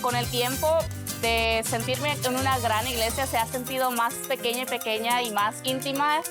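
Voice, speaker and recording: A woman's voice: on the high side, fairly even intonation, normal speed. A woman giving a spoken testimonial as a member of a congregation. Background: music.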